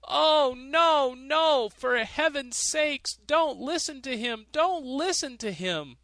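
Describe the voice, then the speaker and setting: A man's voice: extremely high pitch, lively intonation, takes it slowly, pushed and bright, no room sound, pitched above the performer's own voice, exaggerated voice. A man voicing a desperate old miser in a fairy tale, wailing and pleading. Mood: panicked pleading. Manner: wailing, begging.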